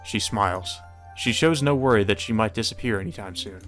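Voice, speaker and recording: A man's voice: lowish voice, fairly quick, fairly bright tone, faint music behind. A man reading visual novel narration aloud as a let's player, with casual commentary.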